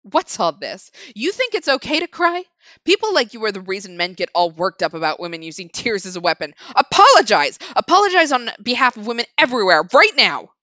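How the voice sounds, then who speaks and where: A woman's voice: lowish voice, very animated, a little fast, hardly any pauses, fairly bright tone, no room sound. A woman voicing a stern older woman who scolds harshly, with a cutting tone and a raised voice. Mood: furious.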